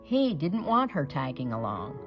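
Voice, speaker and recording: A woman reading a picture book aloud in an expressive, dramatic storytelling manner. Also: deep voice, a little slow, over music.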